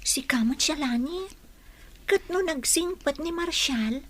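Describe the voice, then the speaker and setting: A woman's voice: high voice, animated, measured pace, a bit bright. A woman with an Ilocano Filipino accent playing a frank young best friend in a radio drama. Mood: surprised, urging. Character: frank, exclamatory young female best friend giving advice.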